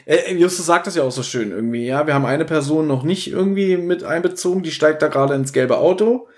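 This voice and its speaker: A man's voice: fairly high pitch, quick pace, a little mellow. A man hosting a podcast, casually retelling and discussing a story, slipping into quoted dialogue. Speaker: podcast host.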